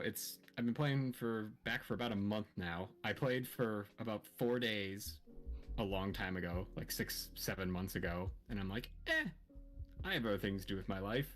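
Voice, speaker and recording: A man with a slightly low voice talks casually as a stream guest. He is easygoing and understated, and soft music plays faintly behind him. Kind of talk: casual livestream chat. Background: soft lofi music.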